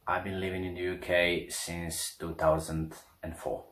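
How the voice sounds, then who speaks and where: A man from Serbia, an accordionist, introducing himself quietly. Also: deep voice, little pitch movement, a little slow. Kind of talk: self-introduction. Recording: roomy echo.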